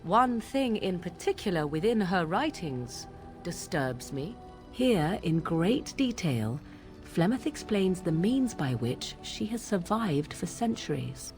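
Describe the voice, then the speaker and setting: A woman's voice: lowish voice, very animated, takes it slowly, a little mellow, faint music behind, heavily acted. An actress playing a proud, sardonic young witch in a video game, speaking in formal, archaic phrases with haughty deliberation. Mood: troubled, brooding. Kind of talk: dramatic video game dialogue.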